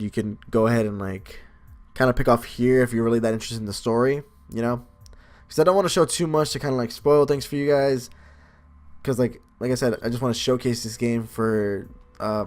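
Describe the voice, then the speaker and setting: Casual male let's player, mid-pitched voice. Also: fairly even intonation, a little fast, a little mellow. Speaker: game video creator. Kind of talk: casual let's play commentary and read-aloud narration. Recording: music behind the voice. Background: game music.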